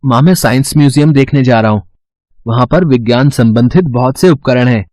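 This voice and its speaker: A man's voice: mid-range voice, brisk, a little mellow, no echo, studio quality, lightly acted. A man voicing an earnest, hardworking schoolboy in a cartoon moral story. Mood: eager. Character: earnest, hardworking poor schoolboy, a servant's son.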